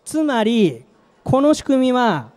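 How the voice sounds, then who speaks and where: Man, middle-aged, high voice, very lively intonation, emphatic short phrases, stage presentation, handheld mic. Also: takes it slowly. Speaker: company manager presenting a product. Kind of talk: live trade-show presentation with slides. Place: presentation stage at an exhibition venue. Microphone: handheld microphone.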